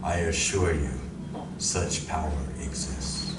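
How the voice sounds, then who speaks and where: A man playing a calm, grave alien visitor in a live radio drama, speaking in a measured, formal and deliberate way. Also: rumbling low. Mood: grave warning. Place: library community room, live performance. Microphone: audience member's distant recorder. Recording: echoey room from far off, noisy.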